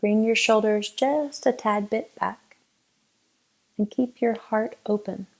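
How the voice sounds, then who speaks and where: A woman leading a guided meditation as a teacher, giving gentle, unhurried instructions with long pauses. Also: fairly high pitch, creaky, rough recording.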